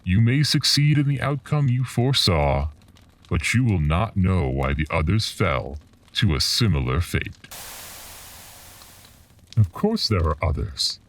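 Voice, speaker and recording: A man playing a mysterious, ominous collector of dark tales in a horror radio drama, speaking formally and cryptically. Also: deep voice, very animated, measured pace, pauses often, recorded from a distance, heavily acted. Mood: calm, ominous.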